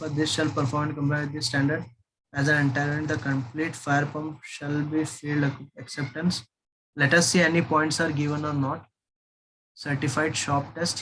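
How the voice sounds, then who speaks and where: A man with an Indian accent giving an informal technical lecture as an instructor, explaining in a halting, repetitive way. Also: fairly high pitch, a bit bright, a little hiss or hum, poor audio.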